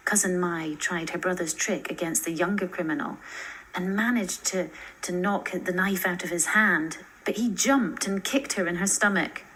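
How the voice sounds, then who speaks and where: Female story narrator, lowish register, soft rasp, scripted prose delivery. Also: low-quality recording.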